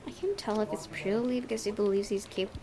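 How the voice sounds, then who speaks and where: A woman's voice: mid-range voice, steady tone, quick pace, a crowd in the background. A woman hosting a gaming video, reading visual novel narration aloud and occasionally stumbling and correcting herself.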